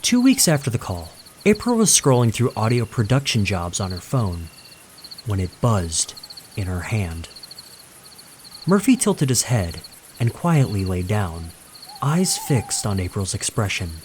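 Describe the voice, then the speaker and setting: Male horror narrator, slow pace, animated pitch, suspenseful, deliberate pauses, scripted delivery. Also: a little hiss or hum.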